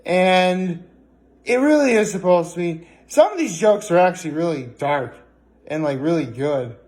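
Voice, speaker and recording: Male vlogger, pitched a bit high, conversational and hesitant, wandering delivery with halting pauses. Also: expressive, darkish tone. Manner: hesitant and rambling, with frequent pauses and fillers. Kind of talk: casual vlog talk.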